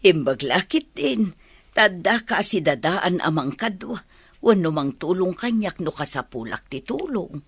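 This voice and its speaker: An actress with a Filipino accent playing an older village mother in a radio drama, caring and anxious about her family, with a husky voice. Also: lowish voice, big pitch swings, measured pace, no echo, full character voice. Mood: earnest. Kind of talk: Ilocano radio comedy drama acting.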